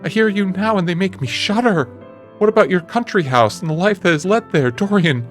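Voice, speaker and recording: An earnest, anguished gentleman painter, as performed by a man in an audiobook. His voice sits fairly high and he pleads and preaches with emphatic feeling. Music plays behind him. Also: lively intonation, brisk. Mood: pleading. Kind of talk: dramatic audiobook character reading.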